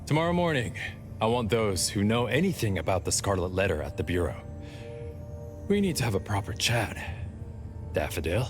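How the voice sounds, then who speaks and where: A man's voice: fairly low pitch, very animated, moderate pace, pauses often. An actor playing a world-weary, businesslike male bureau officer in an anime-style video game, with a dry official manner. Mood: firm. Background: game music.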